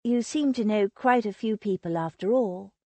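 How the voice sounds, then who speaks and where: Lady Lily, a woman voicing a gentle young noblewoman. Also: medium pitch, moderate pace, no room sound, natural voice. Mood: polite.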